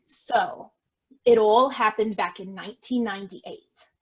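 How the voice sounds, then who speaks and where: A dull, lo-fi recording of a woman acting as a defensive heiress in a stage farce. She speaks slowly and theatrically in a high voice, full of melodrama and exclamation. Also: slightly rough. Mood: dramatic storytelling. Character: defensive, melodramatic estate heiress, bickering with her sister. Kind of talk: amateur stage farce acting over video.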